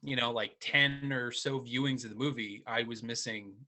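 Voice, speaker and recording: Chill guy guest, bright sharp voice, a bit under the weather with a cough. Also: mid-range voice, fairly even intonation, moderate pace. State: lingering cough from a cold. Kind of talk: casual chat over video call.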